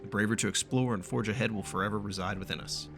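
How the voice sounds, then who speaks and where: A man's voice: on the low side, fairly even intonation, rapid-fire, creaky, over music. A man streaming a game, reading its text aloud in a casual, profane way and stumbling now and then. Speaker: gaming streamer doing a playthrough.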